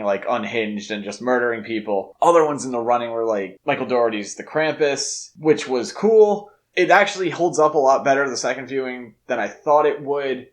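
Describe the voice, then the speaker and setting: A man with a mid-range voice co-hosts a podcast. He chats about films in an informal, conversational way, peppering his sentences with "like" as he thinks aloud. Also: medium pitch. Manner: informal, conversational, filler-heavy with frequent "like". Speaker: podcast co-host. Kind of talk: casual film discussion.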